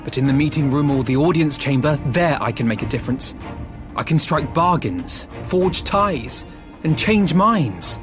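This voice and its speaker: A young idealist diplomat in a game, kinda high voice, eloquent and formal, music in back. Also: male voice, lively intonation, measured pace. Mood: earnest resolve. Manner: formal, articulate, earnest. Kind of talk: video game cutscene acting.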